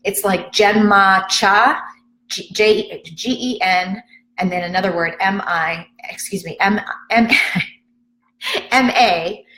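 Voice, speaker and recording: A woman's voice: mid-range voice, takes it slowly, sharp tone, echoey room, rough recording. A woman from the Chicago area chatting casually and rambling on a live stream at home, joking about herself and answering viewer comments. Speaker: fitness vlogger hosting a live stream. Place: at home, indoors.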